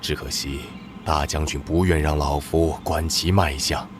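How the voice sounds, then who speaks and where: Grave old physician, slow and deep. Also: male voice, steady tone, music in the background.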